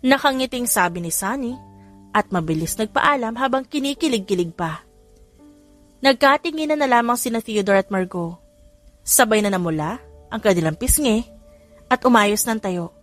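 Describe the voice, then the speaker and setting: A Filipino woman with a fairly high voice narrates a scripted audiobook. She reads the story and voices its dialogue, with music playing softly behind her. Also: lively intonation, normal speed, pauses often. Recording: music under the voice. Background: background music.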